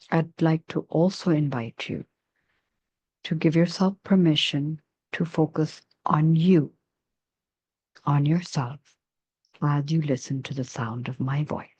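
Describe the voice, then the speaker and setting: Woman, meditation guide, soft dark mellow tone, unhurried long pauses, dry close sound, muffled low-fidelity audio. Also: fairly even intonation, slightly rough, no echo.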